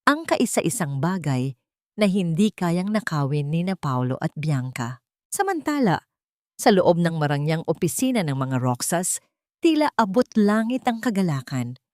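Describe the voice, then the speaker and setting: A woman reading a scripted story as an audiobook narrator, with a measured, dramatic delivery. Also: lowish voice, a little mellow, no echo, studio quality.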